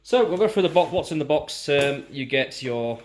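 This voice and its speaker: A man's voice: a little high, lively intonation, normal speed. A British man informally explaining and showing items in a casual unboxing review. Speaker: product reviewer.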